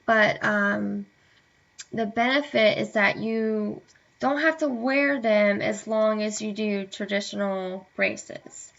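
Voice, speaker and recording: Young woman vlogger, slow unhurried delivery, a bit hoarse, lots of ums, dull lo-fi home recording. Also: medium pitch, a bit bright. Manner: hesitant, many fillers. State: slightly hoarse, tired. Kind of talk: casual chat to camera. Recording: dull lo-fi webcam audio.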